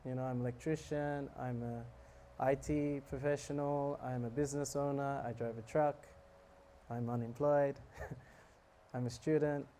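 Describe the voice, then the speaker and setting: A man giving a relaxed, joking talk on scripture to a live audience, often asking them questions. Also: mid-range voice, a little slow, slight background noise. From South Africa. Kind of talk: casual sermon to a live audience.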